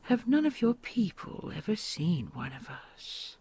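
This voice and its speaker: A woman voicing a kind, ancient queen dragon who whispers softly and gently, amused and patient, in an audio roleplay. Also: medium pitch, sing-song, full character voice. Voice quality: breathy whispery, gravelly. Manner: slow soothing, amused, patient.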